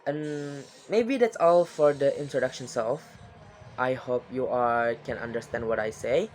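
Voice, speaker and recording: A young man giving a spoken exam presentation as a lesson, talking haltingly with frequent restarts and non-native grammar. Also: mid-range voice, darkish tone.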